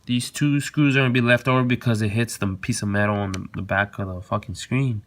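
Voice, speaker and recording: A man's voice: on the low side, steady tone, a little fast, a bit bright. A man hosting a car installation tutorial, explaining each step casually and informally, repeating himself as he goes. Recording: noisy, clicks and vehicle sounds behind.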